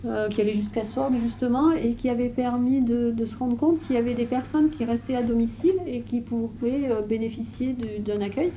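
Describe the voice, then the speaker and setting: A woman with a fairly high, warm and mellow voice answers interview questions. She explains things at length and fills her pauses with frequent hesitations, over faint background noise. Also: steady tone, poor audio. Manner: explanatory, with many fillers. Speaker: interviewee, care service staff.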